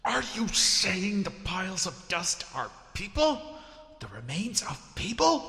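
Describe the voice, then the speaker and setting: A man's voice: high voice, huge pitch swings, measured pace, creaky, sharp tone, full character voice. A man playing a blunt, skeptical starship commander in an audio drama, with a wry, informal way of talking. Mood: alarmed. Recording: echoey room with long reverb.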